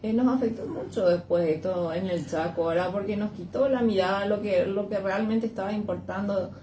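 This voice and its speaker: A woman's voice: medium pitch, moderate pace, mellow, far from the mic. A woman with a Paraguayan accent hosting a conversational radio interview, emphatic and prone to repeating words. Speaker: interviewer, show host. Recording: echoey room, noisy background.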